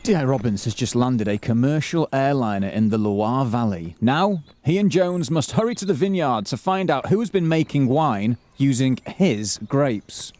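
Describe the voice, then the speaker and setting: A man's voice: medium pitch, animated, normal speed, few pauses, darkish tone, close to an everyday voice. A man playing the dramatic narrator of a mock detective serial, reading scripted narration. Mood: suspenseful.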